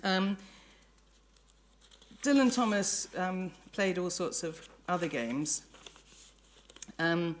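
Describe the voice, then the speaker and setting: A woman's voice: fairly low pitch, animated, takes it slowly, long pauses, slight background noise. A woman with an English accent giving a public academic lecture, thinking aloud in reflective, sometimes hesitant phrasing with self-corrections. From England. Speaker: lecturer, professor. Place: lecture hall before an audience.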